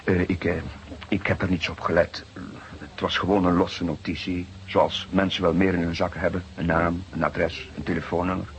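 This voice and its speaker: An actor playing a dutiful, hesitant police subordinate in a radio drama, speaking in a halting, apologetic way. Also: male voice, low-pitched, level tone, slight background noise, natural voice.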